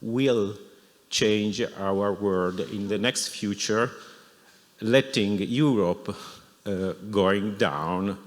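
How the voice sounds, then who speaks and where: Slow, halting man. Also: lowish voice. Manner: halting, searching for words. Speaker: audience member asking a question. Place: lecture hall. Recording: echoey lecture hall.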